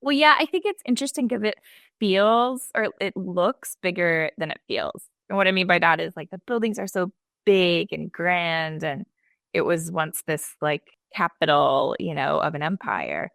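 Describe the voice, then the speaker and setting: Woman host, chatty and enthusiastic, low voice with vocal fry. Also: normal speed, fairly bright tone, no room sound, clean studio sound. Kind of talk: interview chat.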